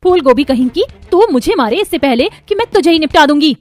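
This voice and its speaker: Woman as cartoon mother-in-law, Indian accent, middle-aged, high voice, rapid, scolding, flustered, exclaiming. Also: lively intonation. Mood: alarmed, indignant. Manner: dramatic, exclaiming, nagging. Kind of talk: animated moral-story voice acting.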